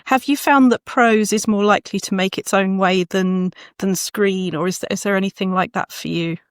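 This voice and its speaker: A woman's voice: mid-range voice, steady tone, normal speed, smooth, no room sound. Reflective woman interviewing, dry close sound. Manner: reflective, encouraging. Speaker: interviewer, podcast host. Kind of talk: conversational interview.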